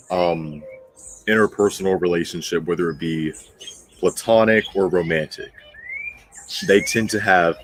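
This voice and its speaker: A man's voice: low-pitched, a little hiss or hum, rough recording. A man hosting an astrology commentary, part reading and part ad-libbing, speaking bluntly and emphatically and repeating phrases for emphasis. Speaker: host of an astrology commentary channel.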